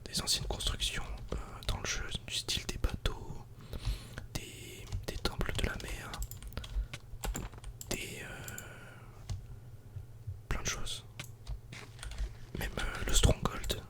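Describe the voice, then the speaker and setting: A man whispers a calm, casual ASMR game commentary in a breathy, unvoiced murmur, close to the microphone. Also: takes it slowly, long pauses. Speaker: ASMR gaming video creator.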